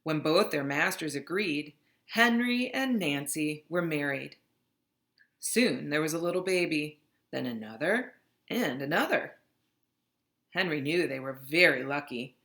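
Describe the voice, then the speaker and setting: Woman storybook narrator, unhurried and dramatic, with a low-pitched, crisp-edged bright delivery. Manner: expressive, dramatic reading. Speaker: storybook reader. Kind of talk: read-aloud narration of a children's picture book.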